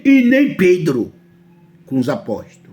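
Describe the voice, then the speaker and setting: A man's voice: a little high, huge pitch swings, measured pace, mellow. A man from Brazil with a Brazilian Portuguese accent, preaching a sermon emphatically and often asking his listeners whether they understand.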